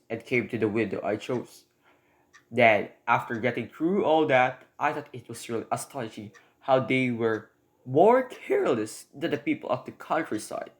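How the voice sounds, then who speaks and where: A man's voice: medium pitch, natural voice. Man acting as a desperate, pleading burglar. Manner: formal, pleading old-fashioned speech. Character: desperate, penniless burglar confessing his crime, polite but wary. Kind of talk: dramatic first-person storytelling in a radio play.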